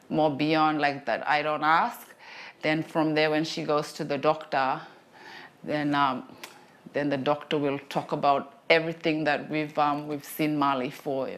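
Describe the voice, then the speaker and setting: Soft-spoken woman, lowish voice. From Torres Strait Islands. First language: Torres Strait Islander language. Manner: calm, soft-spoken, many "um" and "like" fillers. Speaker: health worker. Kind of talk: clinic consultation and interview. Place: small clinic consulting room, indoors.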